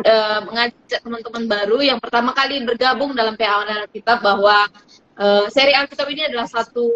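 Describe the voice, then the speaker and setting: Chatty high-voiced woman hosting. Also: fairly bright tone, highs cut off, slight background noise. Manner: chatty, laughs often, restarts sentences. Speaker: co-host of online Bible study. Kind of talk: casual live-stream hosting.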